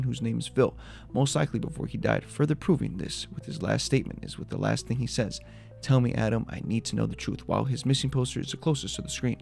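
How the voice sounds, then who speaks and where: A man's voice: mid-range voice, gravelly, a little mellow. A man giving a scripted breakdown of a horror video as its creator, in voice-over narration.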